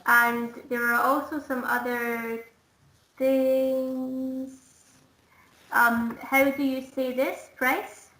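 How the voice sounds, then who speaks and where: Slow-paced female teacher, echoey room. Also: high-pitched, flat delivery, bright, forceful tone. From Scotland. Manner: patient, explanatory, demonstrating sounds slowly. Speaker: English teacher. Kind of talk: one-to-one online language lesson. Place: indoors, online lesson call. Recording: roomy, echoing online call audio.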